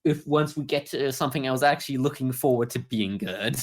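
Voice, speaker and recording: A man co-hosting a podcast, talking through his views in a measured, explanatory way in a casual review discussion. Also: medium pitch. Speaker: podcast co-host.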